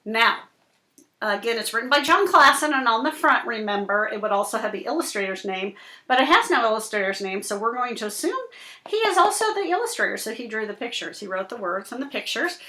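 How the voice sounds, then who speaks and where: Bright, animated woman teacher reading to children. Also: a little high, fairly quick. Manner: explanatory, questioning, addressing children directly. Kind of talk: read-aloud lesson for young children. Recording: roomy, echoing indoor sound.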